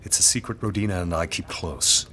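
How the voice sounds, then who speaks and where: Brooding, low-voiced melancholic lord. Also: male voice, a little fast, sharp tone, a little hiss or hum. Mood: firm, secretive. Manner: terse, grave, formal. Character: melancholic, guarded young aristocratic lord, brooding. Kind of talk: gothic audio drama acting.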